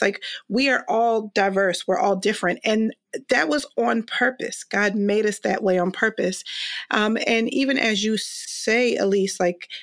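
A woman co-hosting a casual faith-based talk show. She speaks in animated bursts and keeps the tone conversational. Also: medium pitch. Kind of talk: casual chat.

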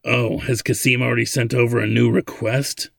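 Man playing a stern, grumbling old inventor, creaky. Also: medium pitch, flat delivery, moderate pace, fairly bright tone, no room sound, clean studio sound. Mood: cautious, grumbling. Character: grumbling, hot-tempered old male inventor, stern father.

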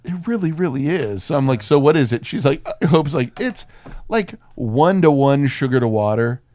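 A man's voice: medium pitch, lively intonation, normal speed, a little mellow, no room sound. A man co-hosting a film podcast in casual comedic banter, irreverent and sweary, slipping into mock voices as he riffs. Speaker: podcast co-host reviewing films.

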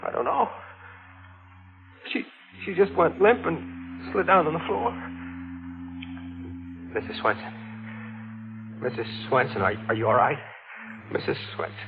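Panicky young husband, swooping pitch, muffled radio. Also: male voice, very animated, a little slow, pauses often. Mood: panicked horror. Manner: urgent, stammering. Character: panicky young newlywed husband turned accidental killer. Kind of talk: 1940s radio acting. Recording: noisy muffled old radio broadcast.

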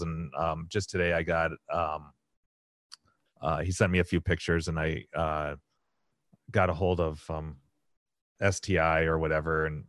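A man with a hoarse, raspy voice, hosting a podcast and telling a long casual story full of fillers and restarts. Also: low-pitched, fairly bright tone, no room sound, studio quality. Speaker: podcast host. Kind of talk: casual chat.

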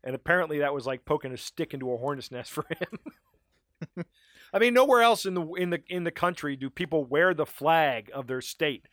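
A man from Maryland co-hosting a casual podcast, ranting in an animated way with frequent laughs, his voice starting to go. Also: fairly high pitch, moderate pace, dry recording, clean studio sound. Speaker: podcast co-host.

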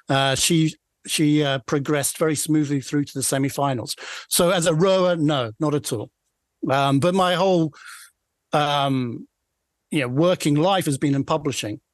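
A Scottish man in his forties from Aberdeenshire, speaking as a guest author. His voice sits slightly high and he often hesitates, restarting sentences with modest asides. The sound is close and dry. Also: normal speed, no room sound. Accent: Scottish, Aberdeenshire Doric. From Aberdeenshire, Scotland. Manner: hesitant, many fillers and restarts, self-deprecating. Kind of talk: casual interview chat.

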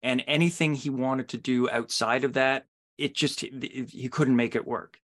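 A man's voice: mid-range voice, fairly even intonation, moderate pace, a bit bright. A man interviewing a guest conversationally, putting long, musing questions as a podcast host.

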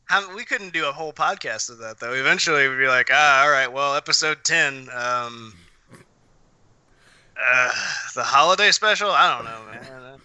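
A man with a very bright, sharp voice, recorded close and dry. He talks as a podcast co-host in loose, casual chat, swearing freely as he tells his stories. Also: mid-range voice, moderate pace, clean tone, no echo.

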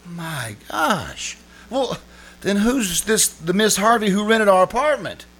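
An actor playing a befuddled, stammering husband in a 1940s-style radio comedy. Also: male voice, high-pitched, big pitch swings, slow pace, a bit bright, slightly rough, heavily acted. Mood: astonished. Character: Dick, flustered, stammering young-hearted radio-hobbyist husband.

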